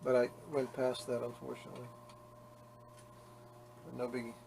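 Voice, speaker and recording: Leatherworker chatting while working, wry and self-mocking, ordinary mid voice, clear shop noise behind. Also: male voice, mid-range voice, monotone, normal speed. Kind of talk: casual workshop narration. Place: workshop.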